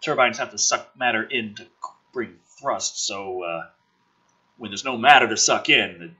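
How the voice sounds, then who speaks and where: Raspy, forceful, sardonic man. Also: fairly low pitch, measured pace. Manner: sardonic, sweary, exasperated asides. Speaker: host reading and critiquing a film script draft. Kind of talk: dramatic script read-aloud with casual profane commentary.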